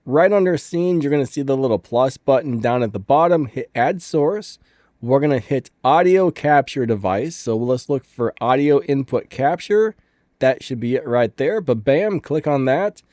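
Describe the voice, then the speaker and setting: A man's voice: medium pitch, animated, normal speed, mellow, dry recording, studio quality. A man hosting a casual tech tutorial, upbeat and chatty, with stuffed-up sinuses, recorded at home with a fan running. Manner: upbeat, chatty, says "ba-bam".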